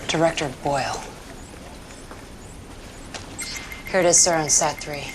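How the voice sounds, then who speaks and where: A woman's voice: sits low, quick pace, noisy background, close to an everyday voice. A woman playing a serious military staff officer reporting intelligence in a video game cutscene. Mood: alert, tense.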